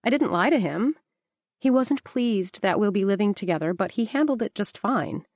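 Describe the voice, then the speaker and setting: A woman reading the part of an earnest young woman who stubbornly defends her choices, her voice lifted a little higher. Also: lively intonation, normal speed, a little mellow, no echo. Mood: defensive.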